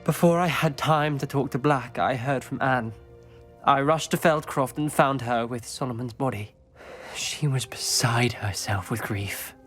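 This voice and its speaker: An actor playing a troubled young male student who speaks gravely and hesitantly in a video game. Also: mid-range voice, a little slow, fairly bright tone, soft background music, lightly acted.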